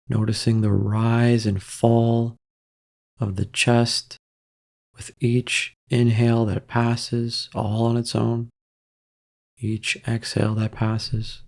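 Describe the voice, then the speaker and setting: A man leading a guided meditation in a calm, gentle, measured way, leaving long pauses between soft instructions. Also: medium pitch, vocal fry.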